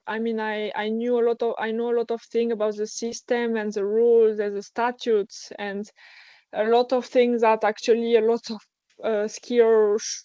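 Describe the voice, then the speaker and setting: A woman's voice: fairly high pitch, warm tone, clean tone, no echo, muffled recording. A young woman with a French accent, a guest in a casual interview, speaking hesitantly and searching for words in her second language. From France. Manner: hesitant, searching for words, many false starts. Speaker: guest athlete.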